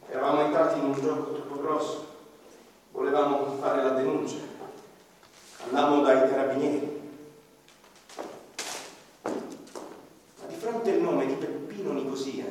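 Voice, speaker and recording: A man narrating a radio drama in the first person, grave and dramatic, often dropping to a hush. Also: fairly high pitch, measured pace, long pauses, heavy reverb, big-room sound, far from the mic, slight background noise, low-quality recording. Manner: grave, dramatic storytelling with sudden drops to a hush.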